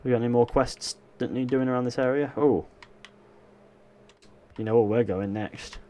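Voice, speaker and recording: A man's voice: medium pitch, normal speed, mellow. A young man, chatting casually and answering chat questions while playing a game live. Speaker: gaming livestreamer.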